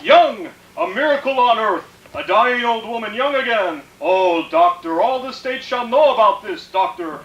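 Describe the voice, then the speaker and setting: A man playing an excitable villager in a theatrical radio drama, shouting and declaiming. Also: sits high, moderate pace, hardly any pauses. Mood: awed, exultant. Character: excitable, loud villager awed by a miracle. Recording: dull, echoey, distant radio recording.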